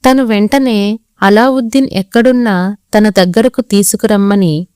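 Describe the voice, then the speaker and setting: A woman's voice: medium pitch, fairly even intonation, moderate pace, darkish tone, smooth, no room sound, studio quality. A woman reading scripted children's story narration as the storyteller.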